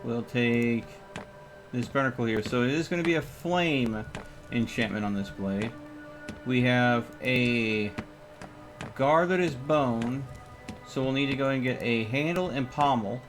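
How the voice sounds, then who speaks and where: A man's voice: medium pitch, a little slow, over music. A man giving casual live gameplay commentary as a streamer, thinking aloud in short, sometimes repeated phrases. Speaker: video game streamer.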